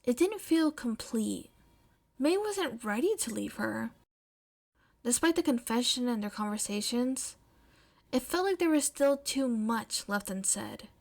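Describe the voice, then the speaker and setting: A woman's voice: fairly high pitch, animated, unhurried, darkish tone. A woman reading scripted fiction aloud as an audiobook-style narrator. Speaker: podfic narrator. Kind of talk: scripted story narration, with casual chat afterwards.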